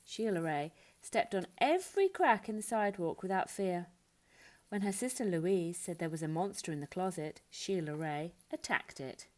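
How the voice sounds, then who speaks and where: A woman narrating a children's picture book in an expressive read-aloud style. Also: on the low side, moderate pace. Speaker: storybook narrator. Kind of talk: expressive children's story reading.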